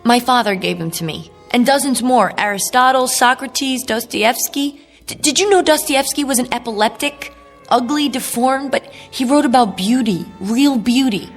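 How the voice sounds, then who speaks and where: Actress as young female rebel, radio drama: earnest, protesting, idealistic, slightly high-pitched. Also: moderate pace, natural voice. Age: young adult. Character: defiant, idealistic young woman refusing a forced transformation. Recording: music bed under voice.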